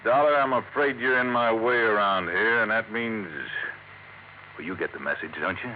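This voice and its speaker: A man's voice: on the low side, normal speed, natural voice. Through a dull, slightly noisy old radio recording comes a man acting an American gangster. He is mocking and menacing, taunting in tough slang in the manner of a 1950s crime serial. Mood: threatening.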